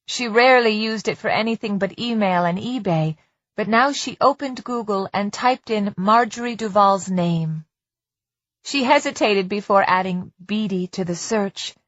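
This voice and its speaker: A woman's voice: medium pitch, a bit bright, no room sound. Dry, close female audiobook narrator. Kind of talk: scripted fiction narration. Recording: slightly dull, muffled audio.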